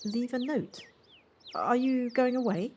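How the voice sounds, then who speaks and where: A woman's voice: a little high, measured pace, a little mellow. A woman acting a polite, slightly hesitant, well-spoken woman in an audio play, recorded at home. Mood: friendly. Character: Jocelyn, polite warm woman settling into a house. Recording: home recording on personal device, faint noise.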